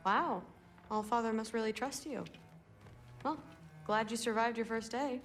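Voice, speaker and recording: Woman acting a high-voiced friendly teen girl. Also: a little slow, faint music behind, lightly acted. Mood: friendly, playful. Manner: casual, eager. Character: bright, friendly, teasing teenage girl. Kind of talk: video game acting.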